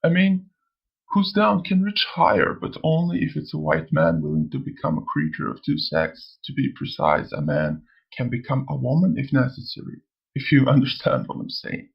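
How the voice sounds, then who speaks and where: A man voicing a sly, amused old spirit in a motion comic, lecturing theatrically in a gravelly, husky voice. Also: a little high, animated, normal speed, muffled recording, full character voice. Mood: mocking, amused. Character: sly, amused old male spirit who preaches and taunts. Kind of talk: scripted motion comic dialogue.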